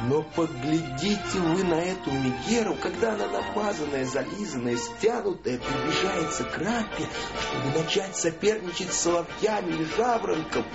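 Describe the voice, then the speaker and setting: An actor playing a scornful yet besotted husband, declaiming theatrically in a radio play monologue. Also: male voice, fairly high pitch, creaky, lo-fi sound.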